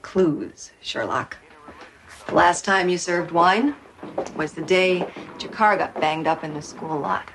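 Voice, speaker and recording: A woman's voice: fairly low pitch, slow. A middle-aged woman playing a wry, perceptive wife and mother in a naturalistic TV drama, dry and teasing with concern. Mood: wry, probing. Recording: clearly noisy background.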